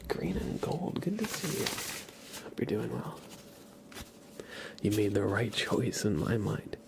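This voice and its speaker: A man doing a live ASMR stream, chatting casually with viewers in a soft, breathy whisper and rambling conversationally. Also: lowish voice, big pitch swings, slow, very noisy. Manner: rambling, conversational, addresses chat by name.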